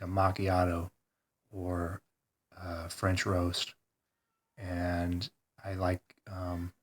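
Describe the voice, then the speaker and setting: A congested man talking hesitantly in a rambling personal video diary, full of pauses and ums. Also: sits low, a bit bright. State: congested, stuffed-up nose. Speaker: vlogger.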